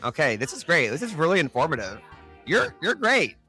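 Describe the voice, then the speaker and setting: Bright-voiced, joking male podcast host. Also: a little high, big pitch swings, a little fast, soft background music. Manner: effusive, joking. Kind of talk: casual playful interview banter.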